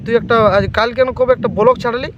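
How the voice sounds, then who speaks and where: A high-pitched male voice with a Purulia Bengali accent, chatting casually as a prank caller. He needles the other person and circles back to the same points. The sound is dull and lo-fi, with clear noise behind him. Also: level tone, very fast. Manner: needling, repeats accusations. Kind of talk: casual teasing phone chat.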